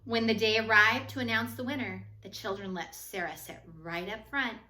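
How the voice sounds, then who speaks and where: A woman reading a children's picture book aloud in an expressive, measured storytelling manner, with phrases that trail off softer. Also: a little high. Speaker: storybook reader. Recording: echoey room.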